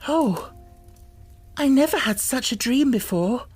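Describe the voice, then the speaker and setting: A woman's voice: sits high, animated, a little slow, a little mellow, husky, pitched above the performer's own voice, heavily acted. A woman voicing a poor, dreamy young girl full of wonder, in rapt, fervent exclamations for a storybook. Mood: amazed, rapturous. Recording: music bed under the voice. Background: music.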